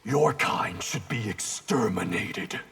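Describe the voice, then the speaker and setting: An actor playing a cold, hateful fighter in a fighting game, with a gravelly voice. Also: male voice, on the low side, expressive, slow, in a whisper, exaggerated voice. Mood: cold contempt. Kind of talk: fighting game character dialogue. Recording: very loud background noise.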